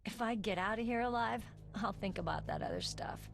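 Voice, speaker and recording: A woman performs a young rookie soldier in a video game drama. She sounds earnest and determined, with a medium-pitched voice. Music plays clearly behind her. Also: a little fast, natural voice. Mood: earnest, defiant. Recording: game audio under background music.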